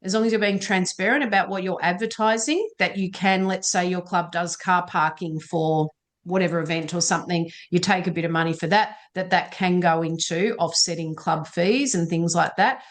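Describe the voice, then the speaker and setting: Woman, meeting moderator; relaxed, reassuring, filler-heavy delivery. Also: lowish voice, moderate pace. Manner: chatty, frequent ums, reassuring. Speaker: session moderator. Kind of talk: online meeting Q&A.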